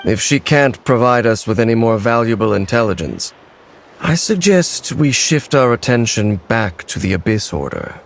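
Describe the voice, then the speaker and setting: A man voicing a stern, aloof lone warrior in a video game, speaking in a formal, measured, terse manner. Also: medium pitch, big pitch swings, creaky, a little hiss or hum. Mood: grave. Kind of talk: dramatic video game character acting.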